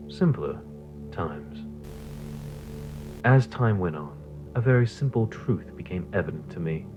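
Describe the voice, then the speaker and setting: An actor playing a centuries-old cult leader villain, solemn, grandiose and menacing, in an audio horror monologue. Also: male voice, sits low, poor audio. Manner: solemn, deliberate, portentous.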